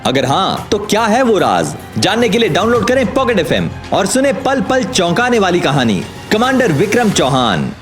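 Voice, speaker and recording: A man's voice: high voice, huge pitch swings, normal speed, few pauses, music in the background. A man reading a scripted audio story as its narrator, dramatic, suspenseful and emphatic.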